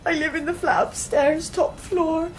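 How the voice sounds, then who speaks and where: An actress playing a young, plain-spoken hairdresser in grief, in a radio drama. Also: female voice, extremely high pitch, steady tone, a little slow. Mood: sad.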